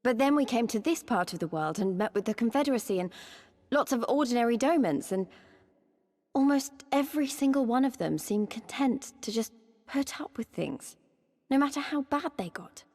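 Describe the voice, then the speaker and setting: An actress playing a young female resistance fighter in a video game, passionate yet self-doubting, speaking in a heartfelt, halting, plain way. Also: on the high side, expressive, a little mellow, big-room sound. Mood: troubled, pensive. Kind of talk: cinematic video game voice acting.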